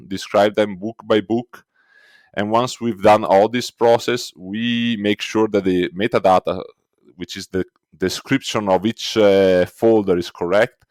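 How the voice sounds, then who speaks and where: A man with a thick Italian accent speaks in a lowish voice, recorded dry and close. He talks through his answers step by step, pausing often on "uh" as he goes. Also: no room sound. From Italy. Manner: explains step by step with frequent fillers. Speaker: guest, records indexing team lead. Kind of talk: conversational interview.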